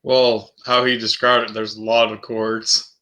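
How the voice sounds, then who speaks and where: A man's voice: lowish voice, little pitch movement, measured pace, bright, forceful tone, low-quality recording. A man chatting casually as a player in a tabletop roleplaying game.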